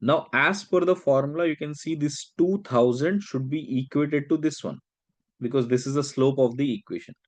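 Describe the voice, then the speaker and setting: A man's voice: medium pitch, normal speed, no room sound. Man teaching, dry close sound. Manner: explanatory, with frequent check-in questions. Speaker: chemistry teacher. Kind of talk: step-by-step worked-problem lecture.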